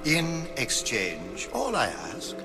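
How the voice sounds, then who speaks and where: An actor playing a tyrannical, volatile mad artist in a video game, theatrical and grandiose, swinging between whispers and shrieks. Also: male voice, medium pitch, wildly swooping pitch, takes it slowly, exaggerated voice. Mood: sly, menacing. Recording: loud music behind the voice.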